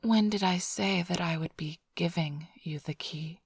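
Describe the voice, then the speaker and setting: Casting: woman as sly female vampire thief for ASMR roleplay, husky half-whisper, unhurried, teasing purr. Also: fairly low pitch, dry recording, studio quality, heavily acted. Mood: mocking, playful. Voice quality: breathy, husky, often whispered. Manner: teasing, purring, theatrically sly. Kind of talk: ASMR roleplay, intimate close address to listener.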